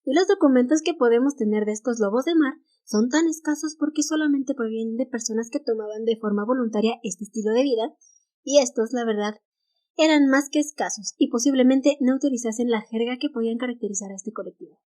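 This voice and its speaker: A woman's voice: on the high side, brisk, warm tone. A woman with a Mexican Spanish accent co-hosting a casual history podcast, reading notes aloud and laughing easily. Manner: reads notes aloud, stumbles on English titles, laughs easily. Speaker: podcast co-host.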